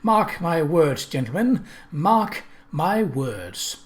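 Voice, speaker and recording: A narrator voicing a proud, brisk male inventor who declaims emphatically, in a storybook reading. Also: mid-range voice, sing-song. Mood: defiant, proud.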